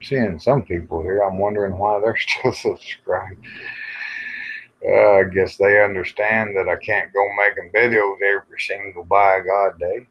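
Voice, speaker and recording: Low-pitched man, slow and muffled. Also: fairly even intonation. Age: 50s. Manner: folksy, muttering to himself while browsing. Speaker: livestream host. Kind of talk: casual rambling live chat. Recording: dull, lo-fi livestream audio.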